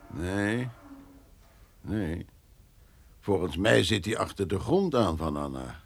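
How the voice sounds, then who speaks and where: Slow, low-voiced suspicious father. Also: big pitch swings, vocal fry, breathy edge, exaggerated voice. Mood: wry suspicion. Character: older father, suspicious wry Dutch countryman. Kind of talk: radio play acting.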